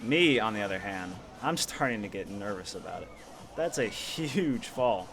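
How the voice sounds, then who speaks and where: A man playing a smooth-talking, subtly pushy young youth leader in naturalistic audio drama dialogue. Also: lowish voice, animated, moderate pace, background noise. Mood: casual.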